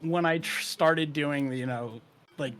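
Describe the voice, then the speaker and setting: A young man with a bright, sharp edge to his somewhat high voice chats casually as an interview guest. He giggles a lot and rambles through his stories with plenty of fillers. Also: a little slow. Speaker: VTuber guest.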